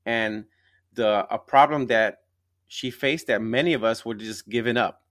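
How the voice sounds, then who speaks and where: A man's voice: mid-range voice, a little slow, fairly bright tone, dry recording, studio quality. A man hosting a live interview podcast, speaking enthusiastically and emphatically, repeating himself and checking in with tag questions. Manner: repetitive, emphatic, often tags phrases with "right?". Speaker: podcast host.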